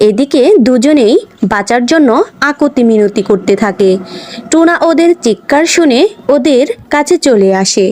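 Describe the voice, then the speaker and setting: A woman's voice: high voice, expressive, normal speed, darkish tone, slight background noise. A woman narrating a scripted fairy-tale cartoon as a storyteller.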